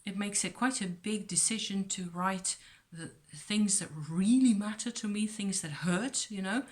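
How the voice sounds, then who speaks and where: A woman's voice: mid-range voice, unhurried, darkish tone. A woman whose first language is Dutch, chatting casually to her viewers in a rambling, reflective way, full of ums, uhs and restarts. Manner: rambling, hesitant, many fillers and restarts. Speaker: vlogger. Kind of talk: casual rambling chat to camera.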